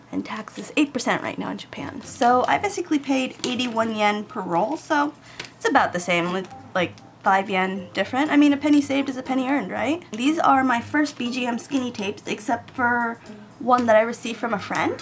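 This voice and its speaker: Woman, craft vlogger, getting over a cold, chatty, gravelly voice, slightly high pitch, faint hiss. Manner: chatty, addresses viewers directly. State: recovering from a cold. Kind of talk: casual unboxing haul. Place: home room with air conditioning, in Japan.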